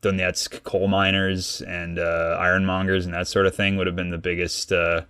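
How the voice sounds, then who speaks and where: Low voiced guy rambling thru history on a podcast, lots of uhs, dry sound. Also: little pitch movement, moderate pace, pushed and bright, clear, resonant tone, dry recording. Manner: rambling, many fillers and restarts. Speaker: podcast co-host, guest expert. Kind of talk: casual podcast discussion.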